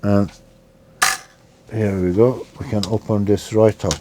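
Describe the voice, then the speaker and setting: A man's voice: on the low side, flat delivery, unhurried. A man giving an informal, unscripted step-by-step repair demonstration, talking hesitantly as he works with his hands. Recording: clear background noise, handling clicks.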